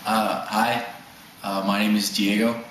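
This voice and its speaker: An eighteen-year-old man giving a halting, stammering mock documentary testimony. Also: on the low side, level tone, fairly bright tone. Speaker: student interviewee. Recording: echoey room with faint noise.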